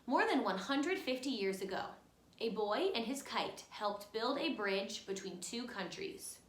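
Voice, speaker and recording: A woman reads a children's picture book aloud in an echoey room. Her voice is fairly high and swings up and down in an animated way. She speaks as a teacher guiding young listeners along. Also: unhurried. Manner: expressive, instructive, addresses young listeners directly. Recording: roomy, echoey room sound.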